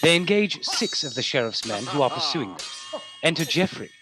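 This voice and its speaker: Man narrating a radio play over loud music. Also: a little high, moderate pace. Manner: descriptive, lively storytelling. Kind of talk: scripted narration. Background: loud music and sound effects.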